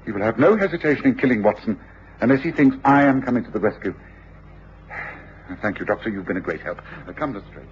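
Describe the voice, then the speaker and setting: An actor playing a brilliant, commanding English detective in a radio drama, speaking precisely and deliberately with an English accent. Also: male voice, fairly low pitch, lively intonation, natural voice. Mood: grave, intent. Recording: muffled, noisy old radio drama recording.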